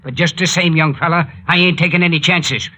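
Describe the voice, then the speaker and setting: An actor playing a gruff, suspicious older small-town mayor with blunt rustic speech in a 1940s radio drama. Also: male voice, on the high side, fairly even intonation, fairly quick, bright, forceful tone. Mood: accusing. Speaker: actor in a radio mystery serial.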